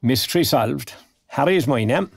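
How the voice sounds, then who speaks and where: Talkative older man, Irish accent, gravelly, husky, folksy, genial retiree, audio drama. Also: medium pitch, animated, moderate pace, no room sound, clean studio sound. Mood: chatty, curious. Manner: chatty, folksy, old-fashioned turns of phrase. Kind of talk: naturalistic audio drama acting.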